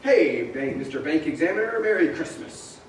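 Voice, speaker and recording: A male actor plays an elated, frantic family man who exclaims everything with breathless joy. His pitch is high and swings widely. It is a stage radio play, caught from a distance in a very echoey hall. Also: animated, normal speed, lightly acted. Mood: giddy joy. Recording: roomy theater stage, distant mic, faint noise.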